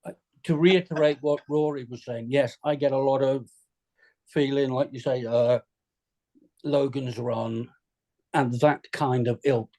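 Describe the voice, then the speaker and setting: Mellow man, emphatic. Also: medium pitch, steady tone, a little slow, pauses often, poor audio. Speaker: actor on a script reading panel. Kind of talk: casual panel discussion.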